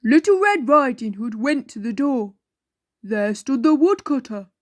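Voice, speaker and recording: Woman as jolly, high-pitched children's storyteller. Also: very animated, a little slow. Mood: storytelling. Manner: exaggerated, giggly, playful. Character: Gruff, jolly childlike storyteller character who loves cake.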